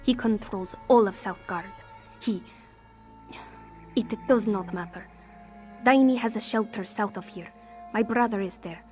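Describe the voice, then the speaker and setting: A woman's voice: medium pitch, measured pace, long pauses, darkish tone, soft background music, close to an everyday voice. A woman playing a wry, proud cat-folk smuggler with a theatrical, sardonic manner in scripted fantasy game dialogue. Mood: bitter, urgent. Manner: theatrical, sardonic, speaks of herself in third person.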